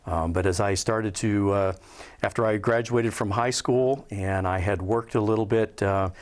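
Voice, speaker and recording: An American man in his fifties from Southern California, recalling his life conversationally in an interview, hesitant and measured with frequent pauses. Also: lowish voice, steady tone. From Southern California, USA. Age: 50s. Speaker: interview guest, Air Force veteran. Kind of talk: conversational personal recollection.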